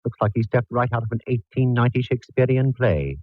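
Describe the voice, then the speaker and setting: An actor playing a fussy, waspish, theatrical photographer, arch and affected, in a 1940s radio comedy-drama on a vintage recording. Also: male voice, medium pitch, lively intonation, quick pace, no room sound, highs cut off, lightly acted. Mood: peevish, waspish. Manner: arch, affected, drawling endearments.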